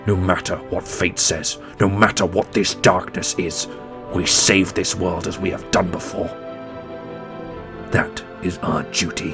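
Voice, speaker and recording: Deep, gravelly heroic prince, acted by a man. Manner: earnest, deliberate, grandly heroic. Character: solemn, loyal young prince and war leader, protective brother. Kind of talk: dramatic fantasy audio roleplay. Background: orchestral music.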